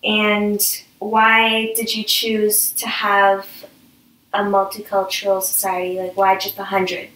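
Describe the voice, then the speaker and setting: A woman's voice: mid-range voice, monotone, takes it slowly, a bit bright. A woman hosting a casual homemade talk show and interviewing a guest, recorded from a distance in a roomy space.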